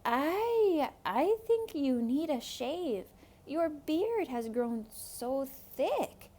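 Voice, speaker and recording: A woman voicing a polite, quick-thinking little boy in a children's storybook. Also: high voice, very animated, mellow, pitched above the performer's own voice, heavily acted. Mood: wary. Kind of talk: theatrical children's storybook read-aloud.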